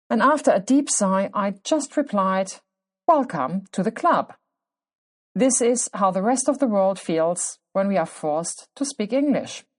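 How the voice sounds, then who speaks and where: German-accented woman hosting a podcast. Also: mid-range voice. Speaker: podcast host. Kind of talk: scripted solo podcast narration.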